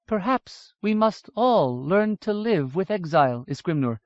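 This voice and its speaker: A man voicing a sorrowful, ageless immortal elf woman, speaking in a grave, lyrical, measured way. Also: sits high, expressive, no echo, voice pushed up. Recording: dull lo-fi audiobook recording.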